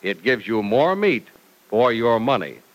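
A man delivers a vintage radio sponsor pitch with emphatic, salesy punch. His intonation swoops up and down in a lively way. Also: unhurried, rough recording. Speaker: announcer. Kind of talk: 1940s radio announcing.

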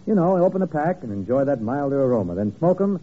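Breezy radio pitchman, lively pitch, dull old recording. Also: fast talker, a little hiss or hum. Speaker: celebrity pitchman. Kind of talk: 1950s radio advertisement, comic banter. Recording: muffled old radio recording, faint music.

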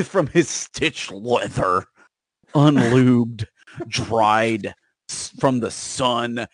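Sarcastic podcast guy riffing with his buddies, medium pitch, no room sound. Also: extremely slow, dry recording. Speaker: podcast co-host. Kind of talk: casual comedic riffing.

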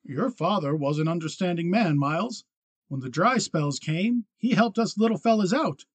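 A man's voice: high voice, expressive, normal speed, slightly rough, dry recording, voice pushed up. A man doing a mild, folksy western peacemaker. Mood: pleading. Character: mild, chunky small rancher, uneasy peacemaker.

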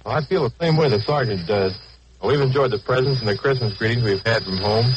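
A man's voice: mid-range voice, a little fast. A man in his twenties from Oregon, an air force lieutenant, giving a scripted statement on 1940s radio. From Oregon, United States. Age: 20s. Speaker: bomber crew lieutenant. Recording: hissy, noisy 1940s radio recording.

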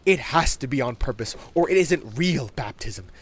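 Storybook boy, played by a male narrator: high, proud, earnest cult kid who trips over his words. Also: animated, moderate pace, slight background noise, natural voice. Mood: proud, eager. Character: eager, proud young boy raised in a cult.

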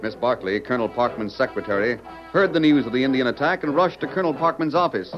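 A man's voice: mid-range voice, animated, fast, natural voice. Male radio western narrator over music. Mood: dramatic. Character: dramatic male storyteller of a western adventure. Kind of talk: scripted old-time radio narration. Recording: muffled vintage radio recording with music.

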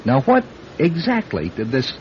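An actor playing a deferential, concerned airline copilot in a classic radio drama. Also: male voice, mid-range voice, huge pitch swings, moderate pace. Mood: uneasy. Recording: dull, noisy old radio recording.

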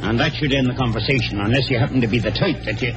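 An actor playing a huffy, pompous older small-town doctor in 1940s radio style. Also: male voice, medium pitch, quick pace, background noise, close to an everyday voice. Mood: indignant, huffy. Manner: pompous, fussy, exclamatory. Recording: old radio recording with music.

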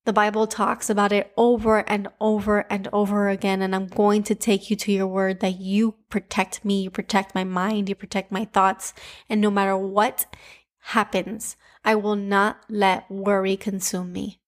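A woman's voice: mid-range voice, level tone, moderate pace. A young woman with a Cuban accent hosting a devotional podcast, teaching scripture and leading prayer in an earnest, emphatic way.

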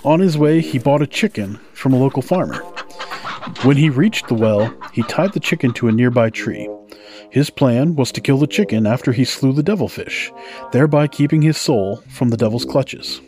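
A man's voice: mid-range voice, lively intonation, moderate pace, a little mellow. A man hosting a podcast, narrating folktales from a script in a storytelling style. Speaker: podcast host. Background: music.

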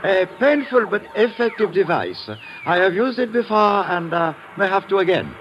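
An actor playing a suave, gloating guerrilla mastermind who speaks with formal, smug politeness in an old radio drama. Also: male voice, high voice, animated, fairly bright tone. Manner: formal, measured, smugly polite. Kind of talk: 1950s radio drama acting. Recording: muffled, noisy vintage radio recording.

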